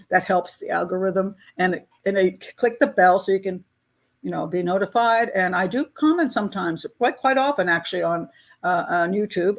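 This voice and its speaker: A woman in her sixties hosting a casual live chat with her patrons, talking in a candid, chatty way. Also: mid-range voice. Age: 60s. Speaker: host of a crime-profiling channel.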